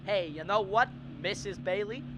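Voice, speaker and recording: A man's voice: sits high, normal speed, background noise, close to an everyday voice. A teenage student actor playing a stubborn, restless young small-town man in a radio drama, stammering and emphatic. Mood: elated.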